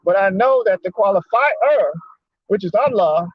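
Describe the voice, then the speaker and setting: High-pitched man giving folksy religious talk, dull audio. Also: animated, a little slow, no room sound. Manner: conversational, folksy, repeats phrases for emphasis. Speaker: community religious speaker. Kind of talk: informal religious talk with personal storytelling. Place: parked in a lot, talking remotely.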